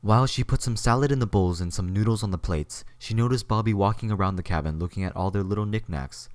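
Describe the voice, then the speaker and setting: Deep-voiced man narrating, very dry sound. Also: quick pace, a little mellow, no room sound. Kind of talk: scripted story narration.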